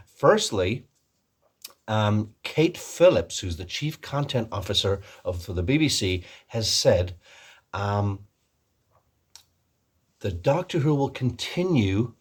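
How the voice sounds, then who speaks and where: A man with a slightly low, husky voice talks in a put-on American accent. He hosts in a casual, rambling way, full of jokey, self-deprecating asides. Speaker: host of a Doctor Who fan video channel. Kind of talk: casual comic vlog chat.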